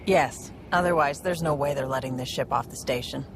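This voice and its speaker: A woman playing a brisk, confident, streetwise alien ship provisioner in a video game. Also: extremely low pitch, background noise. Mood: brisk, impatient. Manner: brisk, matter-of-fact, streetwise. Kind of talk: video game cutscene acting.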